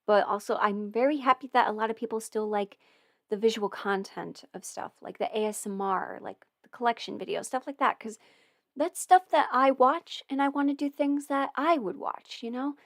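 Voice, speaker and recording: A woman's voice: on the high side, normal speed, dry recording, studio quality. A young woman hosting a casual livestream Q&A as an audio performer, chatty and meandering, with plenty of fillers and restarts. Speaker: audio performer and channel host.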